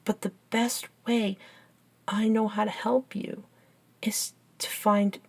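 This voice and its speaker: Woman acting an earnest, slow-spoken young best friend. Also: mid-range voice, little pitch movement, a little mellow, breathy edge. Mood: sincere, confiding. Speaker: audio roleplay performer. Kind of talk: ASMR roleplay acting, one side of a dialogue.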